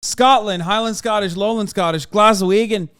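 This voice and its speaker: A man in his thirties hosting a stream, reacting in a quick, animated way during casual chat. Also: high-pitched, clear, resonant tone. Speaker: streamer host.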